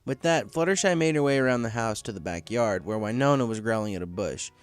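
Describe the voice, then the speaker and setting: A man's voice: medium pitch, lively intonation, fast, clean tone. A man reading a story aloud as scripted narration. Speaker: story reader.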